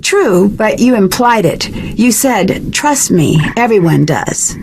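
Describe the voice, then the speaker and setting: A woman's voice: medium pitch, lively intonation, slow pace, a little mellow, slight background noise. An actress playing a confident, inquisitive young woman detective in a video game, polite, direct and probing. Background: faint music.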